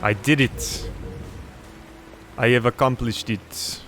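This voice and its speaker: A man's voice: mid-range voice, fairly even intonation, slow pace, fairly bright tone, faint music behind. A man doing casual let's play commentary, thinking aloud as he plays.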